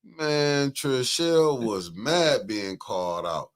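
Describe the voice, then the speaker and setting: A man's voice: mid-range voice, takes it slowly. A man co-hosting a casual morning talk show, telling gossip animatedly and acting out what others said. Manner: animated storytelling, quoting others in voices. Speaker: co-host.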